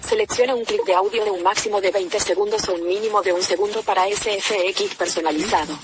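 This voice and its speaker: A woman's voice: mid-range voice, steady tone, fast talker, music in the background. A synthetic woman's voice working as a screen reader, announcing interface labels in clipped phrases.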